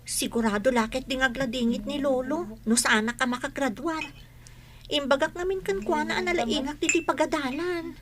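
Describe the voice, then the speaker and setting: A woman's voice: high voice, normal speed, close to an everyday voice. A woman playing a pushy, unprepared teenage student in an audio drama, given to wheedling. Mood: worried. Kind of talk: amateur audio drama acting.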